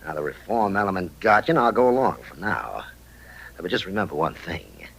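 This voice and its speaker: Calculating, threatening saloon owner, radio western; husky voice with very animated swings in pitch. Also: male voice, brisk, heavily acted, poor audio. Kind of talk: old-time radio western acting.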